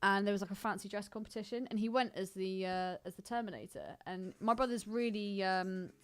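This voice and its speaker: A woman co-hosting a podcast in casual, irreverent chat, speaking in an expressive, blunt way. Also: medium pitch, a little fast, smooth. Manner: expressive and blunt, prone to exclaiming and giggling. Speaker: podcast co-host.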